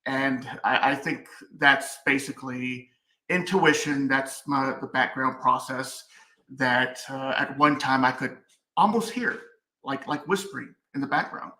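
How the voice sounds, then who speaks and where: A man in the audience joining an online discussion, speaking in a relaxed, unprepared and halting way over a video call. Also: medium pitch. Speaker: audience participant. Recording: roomy echo.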